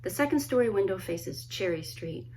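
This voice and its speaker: A woman, a children's author, reading a story aloud expressively, with dramatic pauses and hushed emphasis. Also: mid-range voice, expressive, slight background noise. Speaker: children's book author reading own work. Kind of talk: expressive storybook read-aloud, friendly introduction.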